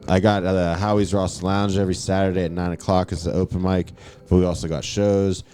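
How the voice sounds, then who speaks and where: Deep mellow man, smooth. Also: flat delivery, a little fast. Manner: short agreeing replies, easygoing. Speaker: podcast co-host, comedian. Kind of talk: casual podcast chat.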